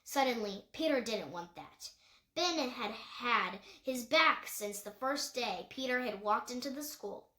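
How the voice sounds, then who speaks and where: A woman's voice: a little high, animated, measured pace, bright, forceful tone. A woman reading a story aloud as scripted narration, with occasional stumbles and self-corrections. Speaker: audiobook reader. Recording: echoey room.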